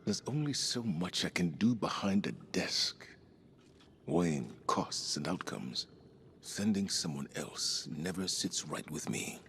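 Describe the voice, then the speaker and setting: Male commander: deep and gravelly, grave, deliberate, duty-bound, game drama. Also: animated, fairly bright tone, a little hiss or hum, exaggerated voice. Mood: earnest. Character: dutiful, restless male military commander. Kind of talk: dramatic game cutscene dialogue.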